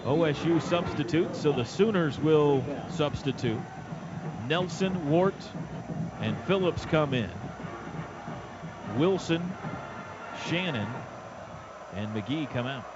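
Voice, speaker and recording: A man's voice: on the high side, expressive, slow, long pauses. A man giving live radio play-by-play of a football game in clipped, urgent phrases. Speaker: play-by-play announcer. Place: stadium radio booth. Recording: dull, noisy stadium radio broadcast.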